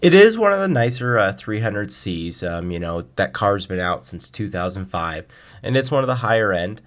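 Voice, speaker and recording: A man with a deep, low-pitched voice speaks as a guest on a radio interview. He answers questions in a conversational style, explaining his subject as he goes. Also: sing-song, normal speed, fairly bright tone. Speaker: guest, car blog writer.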